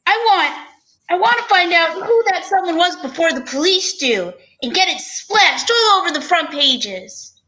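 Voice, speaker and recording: A woman plays a nervous male executive in an old-time radio detective show. The voice is extremely high and sharp, with lively swings. He hesitates and frets, stammering in a fussy way. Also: unhurried, heavily acted, poor audio. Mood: worried. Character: flustered, anxious male store executive hiring a detective.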